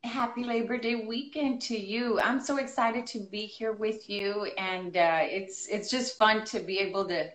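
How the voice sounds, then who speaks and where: A woman's voice: medium pitch, steady tone, unhurried, few pauses, fairly bright tone, echoey room, rough recording. A middle-aged woman with a Spanish-tinged Texas border accent, a guest talking casually and laughing often. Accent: Mexican-American Spanish-tinged. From Texas, US–Mexico border. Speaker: guest, dancer. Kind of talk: casual interview.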